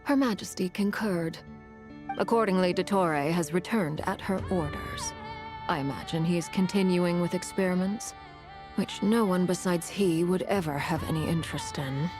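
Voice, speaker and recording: A woman playing a composed, authoritative villainess in an anime game, formal and measured. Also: lowish voice, over music, close to an everyday voice. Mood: cool, formal. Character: composed, authoritative adult female harbinger.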